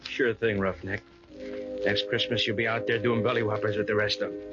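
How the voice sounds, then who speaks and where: A man's voice: fairly low pitch, level tone, fairly quick, lightly acted. An actor playing a tender working-class father in old-time radio style. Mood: jovial. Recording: muffled old radio recording with music. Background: music.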